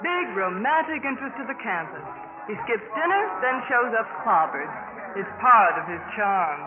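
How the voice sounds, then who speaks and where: A woman's voice: on the high side, lively intonation, moderate pace, background noise, lightly acted. An actress playing a sardonic young college woman in a 1950s radio drama. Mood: sarcastic. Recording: narrow-band, tinny old radio.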